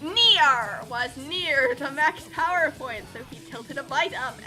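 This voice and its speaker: A woman with a high, piercingly bright voice reads a comic story aloud. Her delivery is slow, theatrical and exclamatory, over background music. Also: animated. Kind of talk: comedic dramatic reading.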